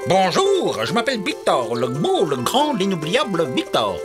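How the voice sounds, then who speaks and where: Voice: huge pitch swings, moderate pace, music in the background. An actor voicing a vain, theatrical rooster in a preschool cartoon, pompous and easily offended. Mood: boastful. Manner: pompous, booming boasts, indignant.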